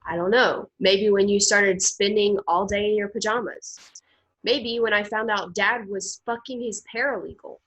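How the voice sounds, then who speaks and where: An actress in a play reading, playing a scornful teenage daughter with a blunt, accusing manner. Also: female voice, medium pitch, fairly even intonation, moderate pace, a bit bright, breathy edge, rough recording. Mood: sullen, bitter.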